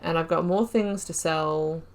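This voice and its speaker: A woman's voice: low voice, a little slow. A woman giving rambling gameplay commentary, thinking aloud with frequent fillers. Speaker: gaming YouTuber.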